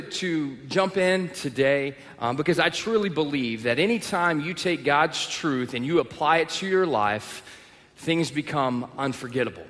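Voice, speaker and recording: Texan pastor in an echoing hall. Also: male voice, on the high side, a bit bright. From Texas. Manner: personal storytelling with self-deprecating humor. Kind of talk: sermon. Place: church auditorium. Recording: long reverb of a large hall.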